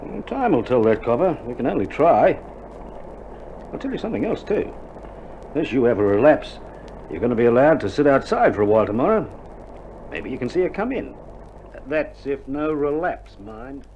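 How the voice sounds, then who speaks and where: An actor playing a weary, dry-humoured Australian army doctor in a wartime radio drama, speaking in a colloquial, matter-of-fact way. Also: male voice, medium pitch, sing-song, moderate pace, pauses often. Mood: reassuring. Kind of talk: recorded radio drama acting. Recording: dull vintage vinyl recording, loud noise.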